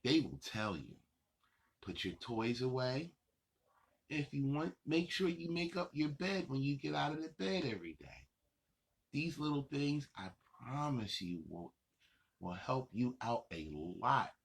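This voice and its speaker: A gravelly-voiced man hosts a story time for little kids. He takes his time, speaking slowly in simple, repeated phrases. His delivery is encouraging and reassuring, with a husky rasp. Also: mid-range voice, very animated. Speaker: host of a children's story time show. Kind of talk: addressing young children directly.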